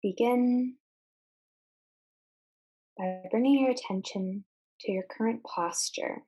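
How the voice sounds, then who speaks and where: A woman leading a guided meditation, giving measured instructions in short phrases with deliberate pauses. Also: on the high side, dark tone, slightly rough, rough recording.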